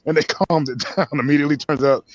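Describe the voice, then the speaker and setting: A man's voice: fairly high pitch, steady tone, fast talker, a little mellow, no room sound. Man, casual stream banter, crude jokes, laughs a lot, dry close sound, slightly muffled. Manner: laughs often, joking and crude. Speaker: stream co-host.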